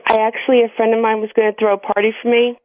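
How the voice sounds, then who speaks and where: A woman's voice: on the high side, level tone, racing, no room sound. An American woman calling in to a radio show, chatting casually and giggly. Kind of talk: casual chat. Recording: tinny phone line on radio.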